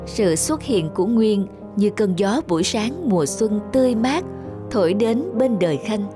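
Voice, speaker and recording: A woman's voice: mid-range voice, expressive, moderate pace. Woman narrator over music. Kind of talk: scripted sentimental story narration.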